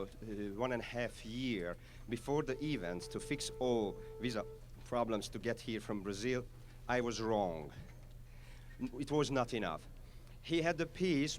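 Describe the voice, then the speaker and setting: Hungarian-accented conductor, mid-pitched, halting, eager, faint ambience behind. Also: male voice, expressive, slight background noise. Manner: halting, with frequent "uh" fillers, enthusiastic. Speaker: orchestra conductor. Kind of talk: spoken concert introduction.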